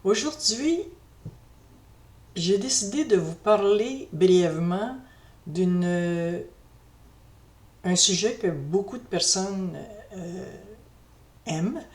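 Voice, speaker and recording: A middle-aged woman tells a personal story to camera in a slow, reflective way, often pausing and restarting her sentences. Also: mid-range voice, a little mellow. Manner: slow, reflective, hesitant, with many fillers and restarts. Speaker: spiritual vlogger.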